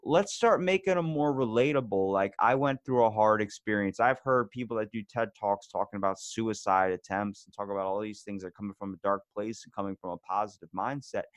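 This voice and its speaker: A man's voice: fairly low pitch, a little fast, hardly any pauses, no room sound. Blunt, profane man on a podcast. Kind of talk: casual podcast conversation.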